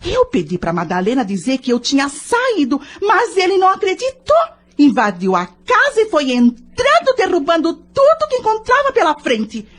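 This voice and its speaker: Actress as high-pitched nagging Brazilian wife. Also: female voice, huge pitch swings, moderate pace, heavily acted. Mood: indignant, alarmed. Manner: scolding, dramatic, exclamatory. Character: nagging, indignant wife and mother deep in debt. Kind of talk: audio soap opera acting.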